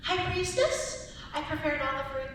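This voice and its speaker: A woman's voice: normal speed, fairly bright tone. A young woman acting admiring, playful women of ancient Athens in a live dramatic script reading. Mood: eager. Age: young adult. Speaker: student actor. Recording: very echoey hall, distant mic, rumble.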